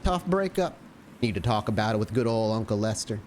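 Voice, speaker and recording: A man's voice: on the low side, lively intonation, brisk, a little mellow, slight background noise, close to an everyday voice. A man voicing a folksy, nosy, chummy older-uncle type in a tabletop game, with a teasing drawl. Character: folksy nosy man who calls himself Uncle Lester.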